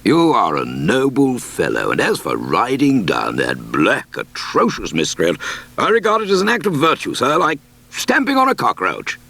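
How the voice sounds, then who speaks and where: A man reading a storybook aloud, voicing a pompous, excitable country squire in a raised voice. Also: medium pitch, moderate pace, creaky, voice pushed up, exaggerated voice. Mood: blustering. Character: blustering wealthy country squire, adult male.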